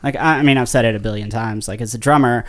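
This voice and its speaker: A man's voice: medium pitch, fast talker. A man hosting a casual podcast chat, talking earnestly and working through his points with small restarts. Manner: earnest, reasoning things through, repeats words on restarts. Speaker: podcast host, drummer.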